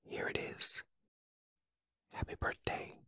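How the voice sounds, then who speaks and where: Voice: slow pace, no room sound, studio quality. Piglet, a timid little pig, whispered by a reader. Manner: soft whisper. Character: small timid young piglet, gentle and shy.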